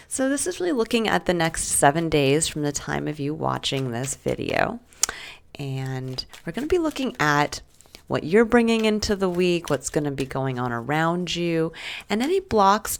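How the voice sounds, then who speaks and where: A woman reading tarot cards for the week ahead, talking gently and reflectively with soft asides; she is sick and losing her voice. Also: deep voice, gravelly. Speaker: tarot reader. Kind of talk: weekly tarot reading to camera.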